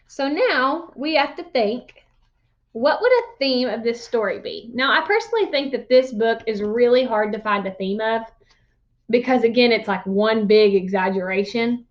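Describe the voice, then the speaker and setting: High-voiced woman teacher explaining. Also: muffled recording. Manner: informal, explanatory. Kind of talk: read-aloud lesson with explanations.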